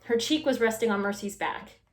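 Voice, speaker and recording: High-voiced woman reading in echoey room. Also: fairly quick. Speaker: storytime reader. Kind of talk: expressive children's story reading. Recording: roomy echo.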